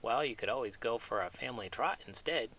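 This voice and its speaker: A man's voice: medium pitch, animated, a little fast, a bit bright. A man voicing a cocky, carefree talking pig with a boastful, teasing manner in a children's show. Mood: cheerful, breezy.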